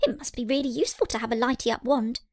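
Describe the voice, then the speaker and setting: A woman's voice: on the high side, fast, darkish tone, no room sound, clean studio sound, lightly acted. A woman voicing a curious, eager young male piglet in a children's story, earnest in manner. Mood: wondering.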